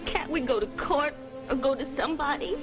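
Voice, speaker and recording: A woman's voice: sits high, fairly quick, close to an everyday voice. An actress in a 1940s film melodrama playing an anxious, bewildered young mother, plaintive and hesitant. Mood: distraught. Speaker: actor. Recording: old film soundtrack, loud music underneath.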